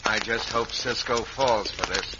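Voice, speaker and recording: An actor playing a rough, loyal outlaw henchman in a radio western, with a folksy American accent. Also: on the low side, a little slow, natural voice. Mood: conspiring. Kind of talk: old-time radio western acting. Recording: echoey, muffled noisy vintage radio.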